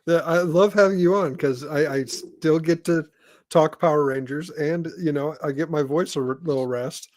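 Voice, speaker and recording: An American man from Indiana hosting a casual podcast interview in a halting, rambling way. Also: on the high side, a little mellow, no echo. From Indiana, United States. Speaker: podcast host.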